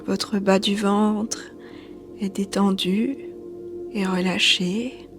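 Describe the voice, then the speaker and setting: Slow, hushed woman guiding meditation. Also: medium pitch, fairly even intonation. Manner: soothing, hushed, breathy delivery. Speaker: yoga teacher. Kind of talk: guided meditation narration. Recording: soft background music. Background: quiet music.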